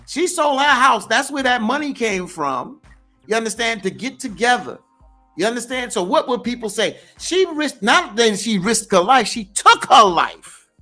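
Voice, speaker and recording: A man's voice: extremely high pitch, very animated, moderate pace, pushed and bright, faint music behind. A man in a talk show debate, emphatic and questioning as he argues. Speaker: show regular. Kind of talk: casual debate chat.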